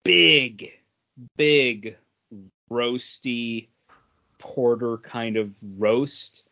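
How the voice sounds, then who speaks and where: Guy podcast cohost giving his take, slow and halting, kinda dull audio. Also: mid-range voice, gravelly, bright, forceful tone. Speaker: podcast co-host. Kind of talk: casual beer review chat.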